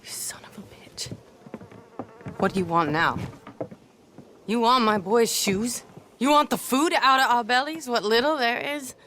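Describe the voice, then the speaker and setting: A woman's voice: fairly high pitch, unhurried, pauses often, a bit bright, a little hiss or hum. A woman acting a bitter, desperate American widowed mother in a game drama, accusing in manner. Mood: bitter grief. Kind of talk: dramatic game cutscene dialogue.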